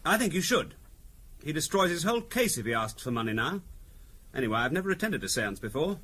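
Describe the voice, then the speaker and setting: A man's voice: fairly high pitch, sing-song, fairly quick, sharp tone, exaggerated voice. An actor playing a dryly amused middle-class man in a 1970s radio drama, flippant and wry.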